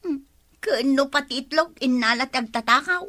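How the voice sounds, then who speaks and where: An actress plays a nagging village woman from an Ilocano radio comedy. Her high, very sharp voice scolds and frets plaintively, with a northern Philippine accent. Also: normal speed. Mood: lamenting. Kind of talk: comedy radio drama acting.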